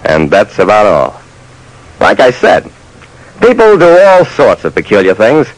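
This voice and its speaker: A man's voice: lowish voice, huge pitch swings, measured pace, no room sound, exaggerated voice, rough recording. An actor playing a wry, slangy detective who narrates his case in a clipped old-time radio style. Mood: matter-of-fact. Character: dry, soft-hearted male detective telling his case. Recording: vintage radio broadcast.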